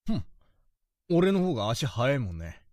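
A woman playing a brash, childish high school boy in a romantic comedy audio drama, rough and cocky. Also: sing-song, moderate pace, a little mellow, dry recording, studio quality, full character voice. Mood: sulky, boastful. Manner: rough, cocky boyish speech.

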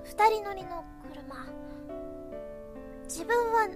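A woman playing a timid but earnest first-year high school girl in a voice drama, speaking in a halting, polite, flustered way. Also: lively intonation, music in the background, exaggerated voice. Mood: hesitant, earnest. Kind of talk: Japanese voice drama acting.

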